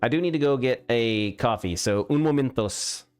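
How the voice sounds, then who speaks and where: A man's voice: mid-range voice, normal speed, clear, resonant tone, faint music behind. Rambling, joking game dev streamer, a man. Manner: rambling, joking, self-interrupting. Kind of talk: casual livestream chat while working. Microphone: studio mic with pop filter.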